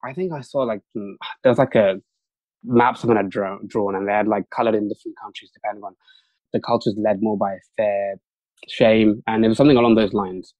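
A young man whose first language is Urdu speaks fast and loosely, piling on fillers and restarts. His voice sits a little low. The recording is close and dry. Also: fairly even intonation, darkish tone, no echo, poor audio. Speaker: interview guest, junior doctor. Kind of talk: casual interview talk.

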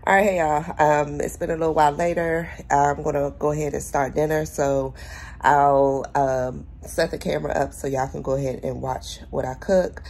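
A young adult woman, vlogging casually from home and talking a viewer through her dinner plans with relaxed fillers. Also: sits low, normal speed. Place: small home room, indoors.

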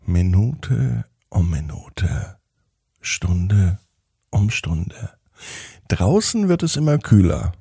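A man hosts a children's podcast, narrating a bedtime story expressively with drawn-out phrases. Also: low-pitched, very animated, gravelly, warm tone, slightly rough, dry recording, clean studio sound.

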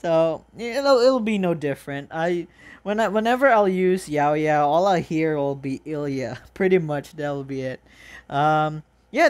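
A woman's voice: lowish voice, a little mellow. A woman hosting a reaction video, gushing informally about a game trailer with the odd mild swear. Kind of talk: excited casual reaction commentary to a game trailer.

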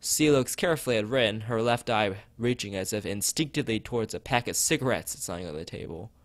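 A man's voice: lowish voice, lively intonation, a little fast, dry recording. A man streaming as he reads a visual novel aloud and chats with viewers, often stumbling and rereading phrases. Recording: background music behind voice.

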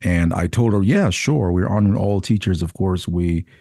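A man's voice: sits low, moderate pace, gravelly, no room sound, clean studio sound. A man, a senior pastor visiting as guest, talking casually and repeating words as he gathers his thoughts. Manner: warm-hearted, repeats words while thinking. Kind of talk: casual podcast conversation.

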